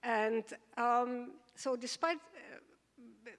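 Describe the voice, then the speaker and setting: A woman scholar interviewing a guest onstage, halting and searching for words as she asks. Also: fairly high pitch. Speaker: scholar moderating the conversation. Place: event hall. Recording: long reverb of a hall.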